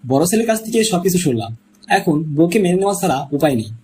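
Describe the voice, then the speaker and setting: Very lively, higher-pitched practical man acted by a man. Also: fast. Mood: settled. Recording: faint background noise.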